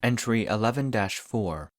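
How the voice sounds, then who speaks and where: Low-pitched man, formal narrator, clinical report delivery, close dry sound. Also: lively intonation, measured pace, dry recording. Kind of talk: scripted narration of fictional case files.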